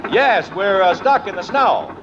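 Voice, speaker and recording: A man's voice: high voice, lively intonation, a little slow. A harried sitcom father, grumbling and sarcastic, with a slightly raised voice that swings up and down. He comes through a hissy, dull old radio broadcast. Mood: shouting. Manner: exasperated, sarcastic. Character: flustered middle-aged family father, quick to grumble. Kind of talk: 1950s radio sitcom acting.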